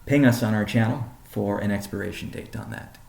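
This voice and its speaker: A man gives a prepared corporate update in measured phrases. His voice is dark and relaxed, with a heavy gravelly creak. The sound is noticeably roomy and echoing, and he lands key words deliberately. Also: fairly low pitch. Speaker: company CEO presenting. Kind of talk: prepared business presentation on a conference call.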